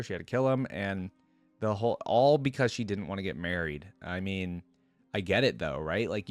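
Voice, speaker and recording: A man's voice: low voice, dry recording. A man hosting a reaction video, reading a story aloud and commenting in an informal, slangy way.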